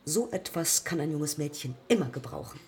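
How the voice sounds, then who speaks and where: A woman's voice: low voice, expressive, normal speed, darkish tone. An actress playing an eager, headstrong young woman pilot of the 1920s in a radio play, telling her story reflectively in clipped fragments. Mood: wry. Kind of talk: radio play monologue and dialogue.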